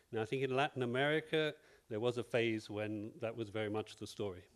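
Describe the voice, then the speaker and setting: A male researcher making a measured, reflective comment from the floor in a panel discussion. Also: medium pitch, fairly even intonation. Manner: measured, reflective, wondering aloud. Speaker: researcher at a conference.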